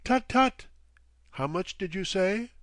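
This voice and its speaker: A courtly, theatrical elderly gentleman, played by a male narrator, speaks in florid, playfully pompous phrases. His pitch is raised and leaps about freely, and the sound is dry and close, as in an audiobook. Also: animated, slow, no room sound, full character voice. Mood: amused, chiding. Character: genial, theatrical, mannered elderly English gentleman.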